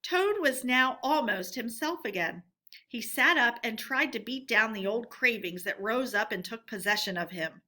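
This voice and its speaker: A woman storyteller reading a storybook aloud in an expressive narrating style. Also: a little high, moderate pace.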